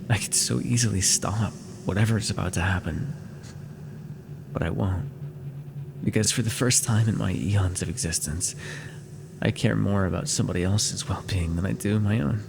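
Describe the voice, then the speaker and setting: A man playing an ancient, brooding immortal villain in an intimate fantasy audio roleplay, with a halting, dramatic, gravelly delivery. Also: on the low side, noisy background. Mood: resigned confession. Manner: slow, halting, dramatic delivery with sighs and pauses. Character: ancient immortal villain, brooding, tormented, tender toward beloved mortal.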